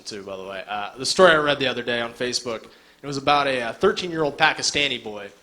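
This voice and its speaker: A man's voice: mid-range voice, pushed and bright. A young man doing a live stand-up set on an indoor stage, talking casually and sardonically with lots of filler. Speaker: stand-up comedian.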